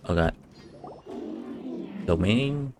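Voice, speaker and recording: A man's voice: fairly low pitch, lively intonation, very slow. A man giving casual live commentary as he streams a game, muttering dry asides to it. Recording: game music behind the voice. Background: game music.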